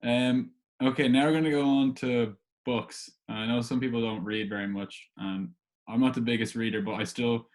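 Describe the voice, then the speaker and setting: Male interviewer, average-pitched voice, relaxed informal chat, slightly tentative delivery. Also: moderate pace. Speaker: podcast host, interviewer. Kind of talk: casual, informal questioning.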